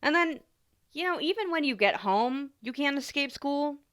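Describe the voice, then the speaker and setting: A woman's voice: sits high, animated, normal speed, fairly bright tone. A woman playing a caring, teasing young adult older sister in an ASMR roleplay, talking casually and informally straight to the listener. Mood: frank, exasperated. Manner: playful, informal, sighs and small laughs.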